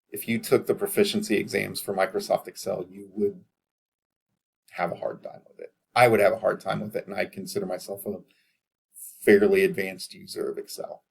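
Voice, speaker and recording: Gravelly-voiced man giving a casual lecture. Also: lowish voice. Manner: casual, direct, repeats "okay?" to check in. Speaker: accounting lecturer. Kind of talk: recorded class lecture.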